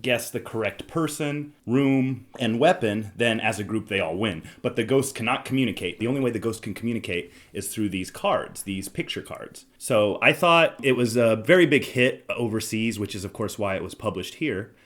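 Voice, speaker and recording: A man with a fairly low voice hosts a casual podcast discussion. He explains things in a conversational way, as if walking friends through a board game. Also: animated, moderate pace, few pauses. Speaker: podcast host.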